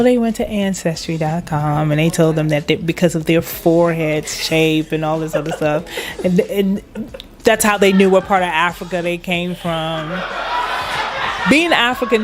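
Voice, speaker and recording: Emphatic woman, low-pitched voice. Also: normal speed. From Liberia. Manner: emphatic and proud, laughs often. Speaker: guest, internet radio station manager. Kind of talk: casual interview chat.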